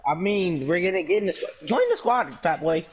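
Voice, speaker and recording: A young man in casual voice chat during a basketball video game, loud, joking and teasing his friends. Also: high voice, animated, fairly quick, soft background music. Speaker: gamer in voice chat. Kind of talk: casual trash-talking party chat.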